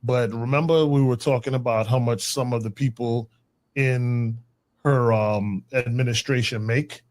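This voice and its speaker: Relaxed, slangy man co-hosting a podcast. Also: mid-range voice, measured pace. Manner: relaxed, slangy, storytelling with restarts. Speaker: podcast co-host. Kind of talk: casual chat.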